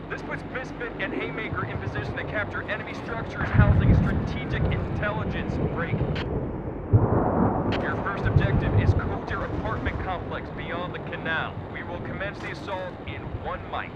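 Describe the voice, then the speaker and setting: Guy acting game army commander, high voice, clipped radio orders, super loud engine noise behind. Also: highs cut off, lightly acted. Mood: businesslike. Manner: clipped military radio procedure. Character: assertive tank platoon commander giving orders. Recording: very noisy, vehicle engine roar behind.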